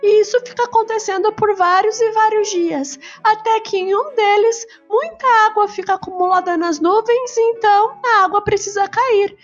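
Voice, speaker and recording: A very high-pitched little schoolboy played by a woman, curious and earnest, talking as in a children's audio storybook. Music plays behind the voice. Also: normal speed, few pauses, dull audio. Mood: earnest, explaining. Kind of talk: children's bedtime story dialogue.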